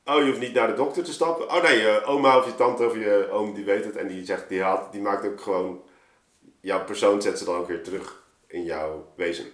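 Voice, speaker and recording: Male podcast guest, lower register, casual and discursive, thinks aloud, recorded in a roomy space. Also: steady tone, fairly quick, fairly bright tone. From Suriname. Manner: rambling, thinking aloud, frequent 'zeg maar' asides. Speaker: podcast guest, artist and storyteller. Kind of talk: casual podcast talk, explaining his creative process. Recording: roomy, echoing room sound.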